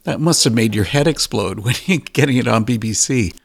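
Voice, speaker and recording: A man's voice: mid-range voice, lively intonation, fairly quick, creaky, no room sound, clean studio sound. A man hosting an interview, asking brief questions and giving encouraging replies. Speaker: interview host.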